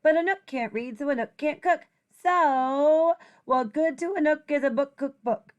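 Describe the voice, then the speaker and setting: A woman teacher reading a children's rhyming picture book aloud in an expressive, deliberate, singsong way. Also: high-pitched.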